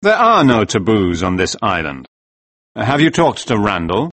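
A narrator voicing an evasive male island trader in an audio story, measured, placating and cool in manner. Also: lowish voice, sing-song, fairly bright tone, no room sound, heavily acted. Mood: dismissive.

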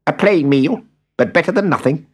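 Guy doing a grumpy little mountain man, snaps every line, kinda high. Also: lively intonation, moderate pace, husky. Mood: gruff. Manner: snappish and curt. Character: cross, scowling little mountain man, male. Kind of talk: storybook reading.

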